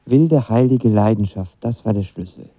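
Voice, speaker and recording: An actor playing a lovestruck, impulsive young actor of twenty in a radio drama, talking in a colloquial, confiding way. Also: male voice, on the low side, moderate pace, no room sound, muffled recording, lightly acted. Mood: excited, confiding. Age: young adult. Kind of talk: radio drama narration and dialogue.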